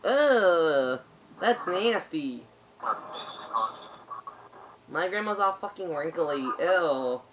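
Guy trolling in a squeaky whiny voice. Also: expressive, drawn-out words, long pauses, muffled recording, background noise. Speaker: gamer trolling in online voice chat. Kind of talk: prank voice chat during online shooter.

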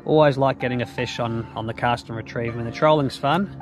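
A man's voice: medium pitch, fairly even intonation, fast talker, music in the background. A man in his thirties with a New Zealand accent, talking casually and enthusiastically to an action camera while fishing from a kayak on a lake. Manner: laid-back, slangy and enthusiastic. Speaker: fishing vlogger. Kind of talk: casual outdoor vlog. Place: outdoors on a lake in a small kayak. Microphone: action camera mounted on the kayak.

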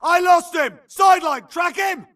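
Shouting, high-pitched grunt soldier. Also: male voice, measured pace, recorded from a distance, a loud crowd, heavy background noise. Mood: urgent alarm. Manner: urgent, clipped shouting. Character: gruff, urgent infantry grunt soldier in battle. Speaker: video game soldier. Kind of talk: shouted combat barks.

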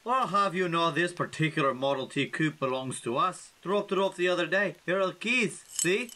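A man playing a tough gangster posing as a cop, barking orders gruffly in an old-time radio drama. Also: a little high, animated, normal speed, sharp tone, slight background noise. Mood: menacing.

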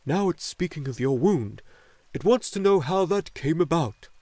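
A man voicing a wise, joyful luck dragon in a storybook, speaking slowly and solemnly with great deliberation. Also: a little high, animated, mellow, dry recording, voice pushed up. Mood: solemn, measured. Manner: slow, solemn, deliberate delivery.

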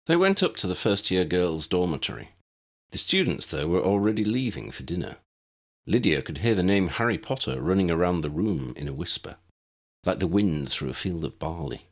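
A man narrating a scripted story in a measured, expressive storybook manner. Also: low voice. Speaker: audiobook narrator and author.

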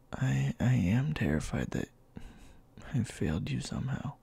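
A man playing a gruff, worried and tender young boyfriend in an ASMR roleplay, speaking softly and haltingly in a breathy, gravelly half-whisper. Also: mid-range voice, full character voice. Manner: soft, halting, intimate.

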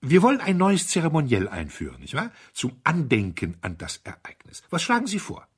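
A man's voice: fairly high pitch, wildly swooping pitch, a little fast, husky, pitched above the performer's own voice, exaggerated voice. A man voicing an eager, jovial young aristocrat in a breathless, exuberant manner for an audiobook. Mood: excited, jovial.

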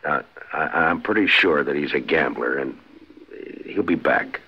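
An actor playing a steady, authoritative American frontier marshal in a 1950s radio western. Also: male voice, unhurried, full character voice. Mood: earnest, persuasive. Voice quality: very deep, husky. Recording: muffled 1950s radio recording.

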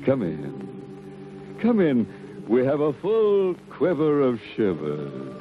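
An actor playing a ghoulishly playful, mock-courteous host, introducing an old-time mystery show with teasing, theatrical relish. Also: male voice, mid-range voice, huge pitch swings, slow, noisy background. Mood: macabre, teasing. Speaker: horror anthology host. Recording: old muffled film soundtrack with music.

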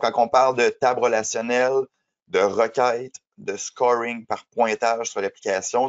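Man, 30s, has a cold, patient trainer explaining in a webinar, dull lo-fi audio. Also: mid-range voice. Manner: explanatory, many fillers. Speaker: software trainer. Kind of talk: webinar presentation.

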